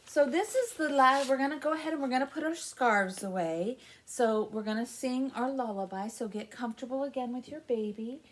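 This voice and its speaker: A woman's voice: sits high, a little fast, few pauses, a little hiss or hum. A middle-aged woman leading a baby story time as a children's librarian, explaining things simply and encouragingly to parents in a sing-song way. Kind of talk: instructional talk to parents with songs and rhymes. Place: small indoor room with children's alphabet backdrop.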